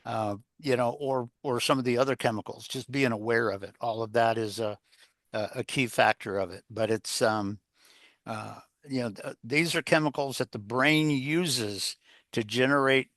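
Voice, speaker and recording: Male interview guest, mid-pitched, coach-style advice, meandering and repetitive, rhetorical questions, very little room sound. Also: moderate pace, fairly bright tone, no echo, studio quality. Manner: rambling, repeats phrases, poses rhetorical questions. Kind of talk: conversational advice-giving interview.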